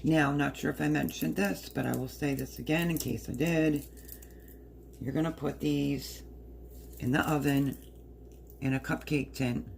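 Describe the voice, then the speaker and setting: A woman with a hoarse voice casually explaining a recipe step by step in her home kitchen. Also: deep voice, steady tone, measured pace, pauses often, darkish tone, slight background noise. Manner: chatty, explains step by step while working. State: hoarse, partly lost voice. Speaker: home cook. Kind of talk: casual cooking demonstration.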